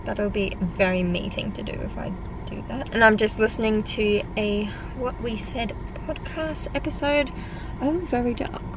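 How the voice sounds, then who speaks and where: A woman's voice: fairly high pitch, moderate pace, background noise. Chatty Australian woman vlogging from her car. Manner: chatty, rambling, self-amused. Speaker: vlogger and personal trainer. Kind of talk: casual vlog chat to camera. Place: inside a parked car. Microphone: camera on the dashboard.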